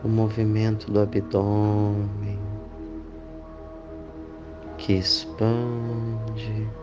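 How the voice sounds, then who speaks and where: A man leading a guided meditation, speaking in deliberate phrases with long pauses. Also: on the low side, monotone, lo-fi sound. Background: clear background music.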